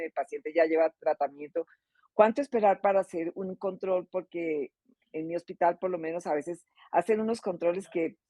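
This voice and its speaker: A woman moderates a medical webinar, courteously putting questions to the speaker with many small hesitations. Her voice is mellow and warm. The sound is dry and close, as on a video call. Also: lowish voice, no echo. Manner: courteous, with frequent "eh" fillers.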